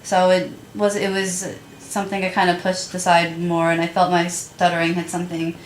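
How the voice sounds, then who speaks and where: A woman's voice: on the low side, monotone, moderate pace. A young woman who stutters a little, chatting as a guest about her school years. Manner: stutters with repeated sounds. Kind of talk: casual chat. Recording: echoey room with light noise.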